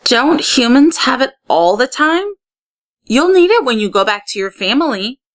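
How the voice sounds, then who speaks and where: A woman's voice: high-pitched, very animated, moderate pace, no room sound, voice pushed up. Lady reading as a reserved kid from under the sea, storybook girl, lonely, plain and matter of fact, kinda sober.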